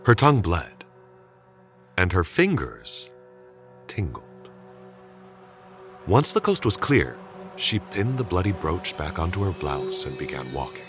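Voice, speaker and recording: A man narrating a scripted story dramatically, with suspenseful, deliberate phrasing. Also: sits low, sing-song, fairly bright tone, soft background music.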